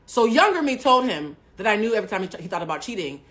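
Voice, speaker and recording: A woman in her forties hosting a livestream, telling a personal story bluntly and with plenty of profanity, swinging between hushed asides and loud bursts. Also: medium pitch, big pitch swings, fast. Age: 40s. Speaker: livestream host. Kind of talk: candid storytelling to a live audience.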